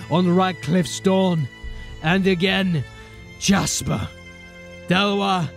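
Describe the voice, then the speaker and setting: A man acting a dying, bitter anarchist in a fantasy audio drama, weak and labouring for breath as he urges and pleads. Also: on the high side.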